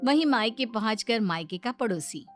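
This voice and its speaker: A woman tells a children's story in a fairly high voice. Her delivery is scripted narration, with clear music playing underneath. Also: lively intonation, normal speed.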